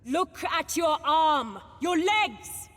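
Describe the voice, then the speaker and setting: An actress playing a proud, bitter young noblewoman with a sharp, haughty, accusing manner in a dramatic video game. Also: high-pitched, animated, very slow, in a large hall, full character voice. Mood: harsh, urgent.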